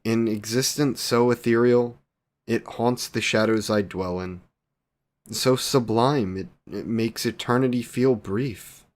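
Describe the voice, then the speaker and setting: A man's voice: mid-range voice, a little slow, a little hiss or hum, close to an everyday voice. A man playing a devoted, wry vampire boyfriend in a scripted romantic audio roleplay, speaking in ornate, formal phrases. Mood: ardent, reverent.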